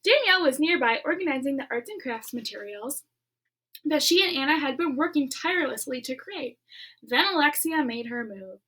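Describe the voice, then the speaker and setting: A woman with a high voice reads a children's novel aloud to her class. She is expressive, dropping into quiet, whispered beats and stopping for her own teacherly asides. The reading is clear and storytelling in tone. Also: moderate pace, poor audio.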